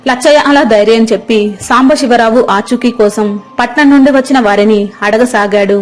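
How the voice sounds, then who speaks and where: A woman's voice: on the high side, a little fast. A woman storyteller giving scripted narration of a tale. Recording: music under the voice. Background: background music.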